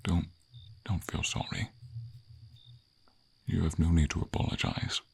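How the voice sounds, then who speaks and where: A gentle silver dragon, acted by a man, with a very deep voice full of gravel and breath. He speaks slowly and tenderly in formal, noble phrases, with a melancholy air suited to a fantasy ASMR roleplay. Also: level tone, heavily acted. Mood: shy, tender. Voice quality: husky, gravelly, breathy low voice.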